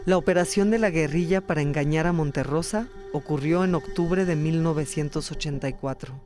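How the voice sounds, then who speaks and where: A man's voice: a little high, fairly quick. A man reading scripted documentary narration. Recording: music behind. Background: music.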